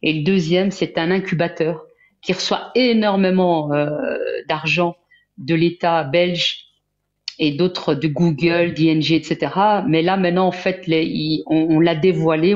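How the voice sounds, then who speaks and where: A woman from Belgium, a guest in a live interview, talking in an earnest, halting way with many restarts. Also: low voice, darkish tone. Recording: dull lo-fi sound.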